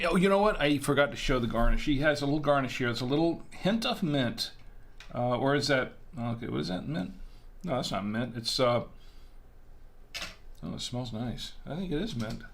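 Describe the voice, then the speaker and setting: A man's voice: medium pitch, a bit bright. A middle-aged man from Florida hosting a casual wine-tasting livestream, chatting in a folksy way and reading out viewer comments. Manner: chatty, folksy, often trails off and restarts. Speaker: wine review livestream host.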